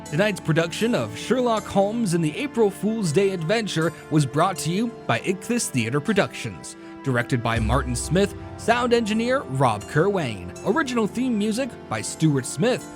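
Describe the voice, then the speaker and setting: A man's voice: high voice, big pitch swings, normal speed. A male announcer reading scripted programme credits and sponsor messages in a showy radio style. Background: music.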